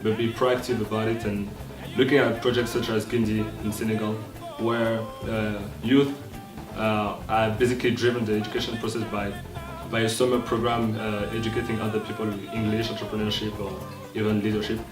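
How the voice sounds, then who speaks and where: Low, level young man, distant and echoey. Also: little pitch movement, fairly quick. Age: young adult. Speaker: youth speaker. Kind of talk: earnest opinion statement. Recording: echoey room, distant mic, music behind.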